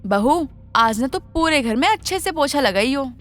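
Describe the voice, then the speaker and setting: A woman's voice: sits high, expressive, moderate pace, faint music behind. A woman voicing a cruel, scheming older mother-in-law in a moral story, bossy and sarcastic as she scolds. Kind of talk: Hindi moral story voice acting.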